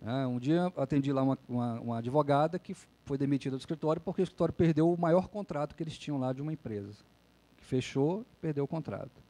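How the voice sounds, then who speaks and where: A man's voice: medium pitch, quick pace, mellow. A man, a lawyer, giving a conversational public lecture and often tagging sentences with a questioning "né?". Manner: conversational, frequent "né?" tag questions.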